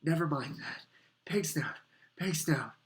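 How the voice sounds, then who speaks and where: A man's voice: fairly high pitch, animated, moderate pace, fairly bright tone, pitched above the performer's own voice. A man voicing an anxious young schoolboy hero who speaks in a breathless, urgent way.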